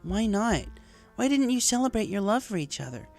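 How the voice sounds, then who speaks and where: A woman's voice: mid-range voice, sing-song, moderate pace, creaky, a little mellow, voice pushed up. A woman voicing a proud, assertive young mare pony who speaks in a defensive, argumentative way in a story. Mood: defiant, heated. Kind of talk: fan fiction character dialogue. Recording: music behind the voice.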